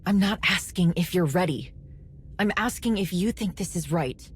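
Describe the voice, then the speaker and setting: A woman's voice: on the low side, expressive, moderate pace, slightly rough. An actress playing an earnest, questioning young female warrior in a cinematic video game. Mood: concerned.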